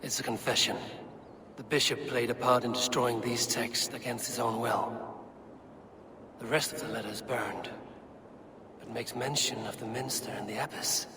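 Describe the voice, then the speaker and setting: An actor playing a gruff Viking raider in a video game, muttering terse observations to himself as he investigates. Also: mid-range voice, steady tone, normal speed, pauses often, noisy background, natural voice. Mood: brooding, deducing. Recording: game music over the voice.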